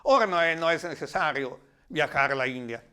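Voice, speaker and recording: A man with an Italian accent giving a reflective, explanatory talk in a language that is not his native one, sometimes halting as he searches for words. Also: fairly high pitch, animated, pushed and bright, clean tone. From Italy. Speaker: lecturer.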